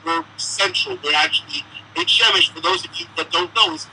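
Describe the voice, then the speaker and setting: A man answering interview questions in a chatty, halting way, full of restarts. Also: sits high, sing-song, bright, forceful tone, a little hiss or hum, rough recording. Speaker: musician guest. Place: Israel.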